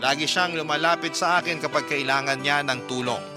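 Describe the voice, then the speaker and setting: A man's voice: on the high side, a little fast. A man narrating a listener's love story in the first person, in an earnest, storytelling manner. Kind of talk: scripted dramatic radio narration. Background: background music.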